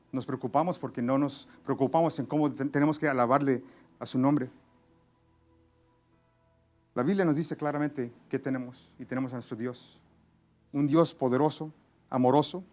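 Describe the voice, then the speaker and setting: Male preacher, slightly high voice, halting bilingual delivery with frequent restarts. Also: a little mellow. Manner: halting, many restarts and fillers, searching for Spanish words. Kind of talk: sermon.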